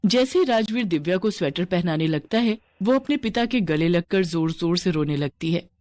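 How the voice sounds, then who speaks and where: A woman's voice: on the low side, animated, moderate pace, a little mellow. A woman narrating a scripted story as its storyteller.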